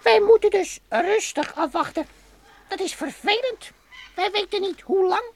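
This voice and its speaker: A woman's voice: extremely high pitch, unhurried. A woman playing an impatient, curious young alien boy in a children's radio play, prone to repeated nonsense exclamations. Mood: curious, restless.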